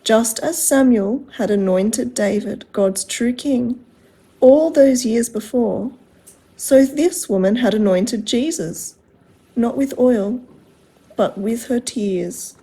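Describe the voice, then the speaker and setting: Female children's storyteller, unhurried, soft-edged mellow tone with some huskiness, expressive read-aloud delivery. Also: a little high, husky, poor audio. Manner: expressive, simple phrasing addressed to children.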